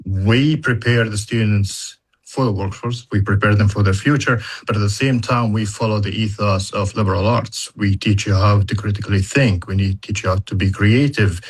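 Low raspy-ish guy, english is his second language, explaining stuff like a college teacher in an interview. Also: fairly even intonation, moderate pace, hardly any pauses, low-quality recording. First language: not English. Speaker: university educator in data analytics.

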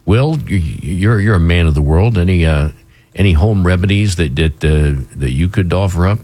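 A man hosting a morning radio show, chatting casually while hoarse from a cold, rambling with lots of fillers. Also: low voice, warm tone. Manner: rambling, halting, with many fillers. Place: radio studio.

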